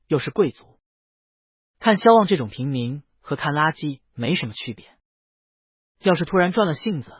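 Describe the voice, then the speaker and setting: A man narrating a first-person story in a scripted audiobook reading, with a lively and expressive delivery. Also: fairly high pitch, slightly rough, no echo. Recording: dry, dull lo-fi recording.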